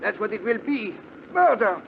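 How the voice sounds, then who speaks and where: An actor playing a terrified criminal who begs and whines hysterically, in 1950s radio drama style. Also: male voice, high voice, big pitch swings, normal speed, vocal fry, exaggerated voice. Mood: hysterical terror. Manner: whining, hysterical pleading. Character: terrified, begging male criminal. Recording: tinny, hissy 1950s radio recording.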